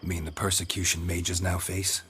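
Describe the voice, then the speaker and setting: Low deadpan fantasy game witcher, stoic and terse, faint crickets behind him. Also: normal speed, a little hiss or hum. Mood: probing. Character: stoic, dry-witted male fantasy witcher, world-weary wanderer. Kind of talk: dramatic video game dialogue.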